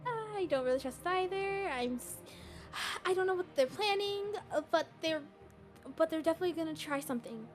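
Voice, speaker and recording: A woman voicing an excitable, anxious young girl, a devoted little sister, in an amateur Minecraft roleplay, flustered and stammering. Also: very high-pitched, a little fast, faint music behind. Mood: worried, subdued. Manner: flustered, stammering, repeats words.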